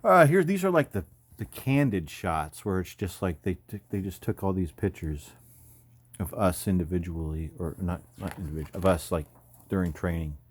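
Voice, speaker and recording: A man's voice: sits low, expressive, moderate pace, slightly rough. An American man in his fifties, an army veteran, talking in a casual, rambling, slangy way as he comments on photos. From California, United States. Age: 50s. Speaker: army veteran, former paratrooper and combat medic.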